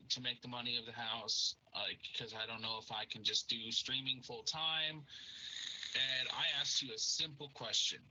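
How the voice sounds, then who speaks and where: Husky man asking questions over lo-fi chat. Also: mid-range voice, pushed and bright. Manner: friendly, storytelling, questioning. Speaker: podcast co-host. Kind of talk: casual podcast chat.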